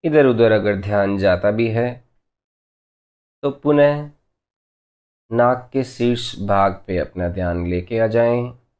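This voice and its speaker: Slow, low-voiced man guiding meditation. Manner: gentle unhurried instructing, short phrases with long pauses. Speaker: meditation teacher. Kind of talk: guided meditation instruction.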